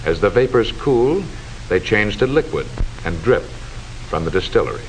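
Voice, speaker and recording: A man delivering formal, measured, instructive scripted narration in the style of a 1940s educational film, on a hissy old soundtrack. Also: fairly low pitch, very animated, faint music behind, low-quality recording.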